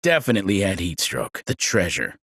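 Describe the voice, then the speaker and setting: An actor playing a gruff, scornful skeptic in a video game, blunt and dismissive. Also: male voice, low-pitched, wildly swooping pitch, fairly quick, fairly bright tone, dry recording, clean studio sound, full character voice.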